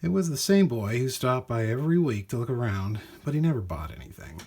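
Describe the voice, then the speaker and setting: A man reading a children's storybook aloud in an animated, expressive way with dramatic emphasis. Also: mid-range voice, a little fast. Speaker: storybook reader.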